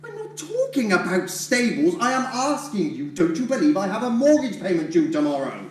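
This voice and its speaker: A man's voice: sits high, huge pitch swings, normal speed, a little hiss or hum. A man playing a blustering, ranting debt collector. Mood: indignant. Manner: shouting, sarcastic, ranting. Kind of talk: stage play acting. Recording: echoey hall, distant mic.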